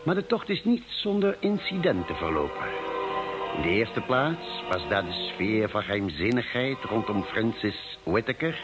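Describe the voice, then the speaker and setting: A man acting a ship's doctor on a space expedition in an old radio drama, articulate and expressive. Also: on the low side, normal speed, music in the background. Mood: grave narration. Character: steady male ship's doctor on a Mars expedition.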